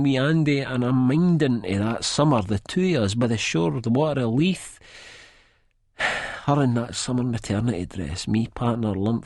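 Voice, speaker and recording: A man's voice: fairly low pitch, gravelly, husky, dry recording, studio quality. A man with an Edinburgh Scottish accent narrating an audiobook in the first person, dramatically and in dialect.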